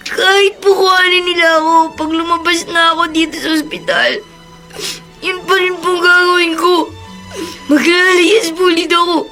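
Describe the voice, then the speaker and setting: A woman's voice: very high voice, bright, forceful tone. A woman playing a distressed, stubborn young runaway boy in a radio drama, with a plaintive, tearful delivery. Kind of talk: Filipino radio drama acting.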